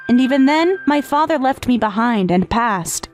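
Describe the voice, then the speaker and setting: A woman's voice: on the high side, animated, moderate pace, lightly acted. A woman playing a withdrawn, grieving teenage step-sister, narrating in an earnest, hesitant, confessional way in a manga dub. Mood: sorrowful, resentful. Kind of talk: dramatic first-person narration in manga dub. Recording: background music under the voice.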